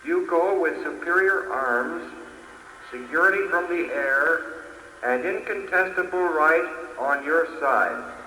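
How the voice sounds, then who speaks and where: An actor playing a solemn factory announcer, declaiming formally over a public address system in 1940s radio drama style. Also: male voice, fairly high pitch, takes it slowly. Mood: solemn, urgent. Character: solemn factory announcer reading war news to workers. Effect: public address loudspeaker. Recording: echoing loudspeaker, noisy old radio.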